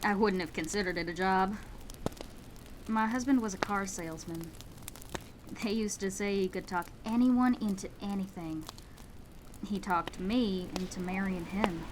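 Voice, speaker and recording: A woman playing a subdued widowed mother in a horror comic dub, speaking in a halting, hesitant way. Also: medium pitch, natural voice. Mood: hesitant, sorrowful. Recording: noisy track with rain behind.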